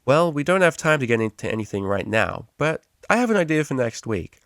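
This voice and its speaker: A man's voice: mid-range voice, big pitch swings, a little fast, a bit bright, no echo, clean studio sound, voice pushed up. Man playing an easygoing, jovial pony tutor. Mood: enthusiastic. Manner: patient, jovial, explanatory. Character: easygoing, enthusiastic male pony psychology tutor.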